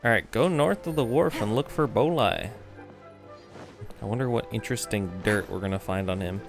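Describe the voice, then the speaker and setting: A man's voice: fairly low pitch, normal speed, gravelly. Slangy man streaming a game. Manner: slangy, sweary, rambling. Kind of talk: casual live gameplay commentary. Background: game music.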